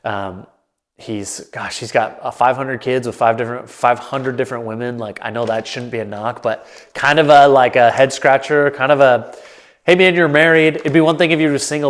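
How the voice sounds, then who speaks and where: A man hosting his own sports talk show, stuffed up and a bit nasal, thinking aloud in a loose, rambling way to the camera. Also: mid-range voice. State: congested, nasal. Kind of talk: casual solo commentary to camera. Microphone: camera mic, solo setup.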